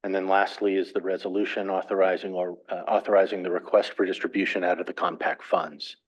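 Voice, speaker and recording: Low-voiced man giving a dry finance report. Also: little pitch movement. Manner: measured, methodical, reads out figures. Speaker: finance presenter at a council meeting. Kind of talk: formal presentation. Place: council meeting room. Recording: dull lo-fi meeting audio.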